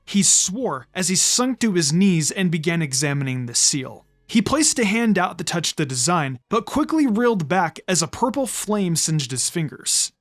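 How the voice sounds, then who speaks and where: A storyteller reads fan fiction aloud as scripted narration. The pitch sits in a middle register and moves around in a lively, expressive way. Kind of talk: scripted narration, audiobook-style story reading.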